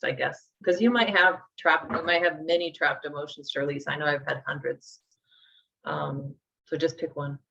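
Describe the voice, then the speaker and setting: A woman's voice: low-pitched, pushed and bright. A woman in her forties teaching a live workshop, conversational as she talks through each step aloud. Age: 40s. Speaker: instructor. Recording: dull lo-fi sound.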